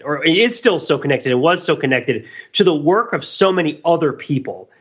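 Rambling higher-voiced man, slightly dull audio. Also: a bit bright, slightly rough. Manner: rambling, self-interrupting, checks in with "right?". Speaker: podcast co-host. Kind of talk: casual analytical discussion.

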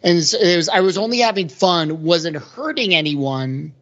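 A woman's voice: lowish voice, expressive, moderate pace, dull audio. A woman chatting casually as a podcast panelist, hedging her points in a conversational way. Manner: hedging, conversational, frequent 'like' and 'sort of'. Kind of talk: casual discussion, critiquing song lyrics.

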